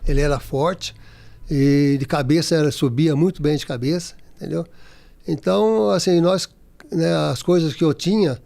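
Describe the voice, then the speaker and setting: Gravelly-voiced Brazilian man in his sixties. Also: on the high side, warm tone. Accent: Brazilian Portuguese. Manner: halting, many restarts and fillers. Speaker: interviewee, former footballer. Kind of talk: casual interview.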